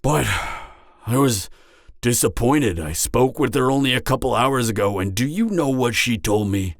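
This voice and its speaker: A man playing a vulnerable, earnest genderfluid half-giant mercenary in an intimate audio roleplay, speaking haltingly with stammers. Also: mid-range voice, expressive, dry recording, clean studio sound, natural voice. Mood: frustrated, disappointed.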